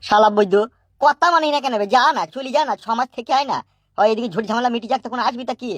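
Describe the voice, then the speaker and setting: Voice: mid-range voice, quick pace, a bit bright, no echo, clean studio sound, close to an everyday voice. A performer voicing an anxious, nagging old father in a comic cartoon, grumbling and scolding. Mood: exasperated, scolding. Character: exasperated, foul-mouthed old village father, anxious and nagging.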